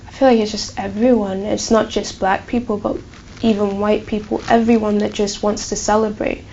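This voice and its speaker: A woman's voice: mid-range voice, flat delivery, moderate pace, vocal fry, dark tone, dull audio, a little hiss or hum. A woman in her twenties with a light Glaswegian Scottish accent, answering interview questions casually with many ums and likes. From Burundi. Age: 20s. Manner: chatty, many fillers like um and like. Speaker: student interviewee.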